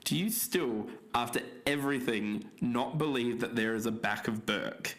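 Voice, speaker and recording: A man's voice: mid-range voice, normal speed, a bit bright, soft background music, lightly acted. A man playing a podcast investigator and believer, earnest and slightly hesitant as he discusses and reads out notes. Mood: earnest, insistent. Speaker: podcast co-host. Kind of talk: podcast discussion and journal reading.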